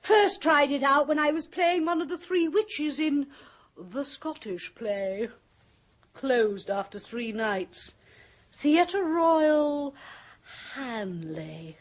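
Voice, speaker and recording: A world-weary fake spirit medium, drawls her scorn in clipped, theatrical phrases. Her voice sits a touch high and moves at an unhurried pace, as in a vintage radio play. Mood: mocking. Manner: theatrical, mocking, clipped upper-class delivery. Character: jaded woman, sham spirit medium, sardonic. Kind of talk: 1970s radio drama acting.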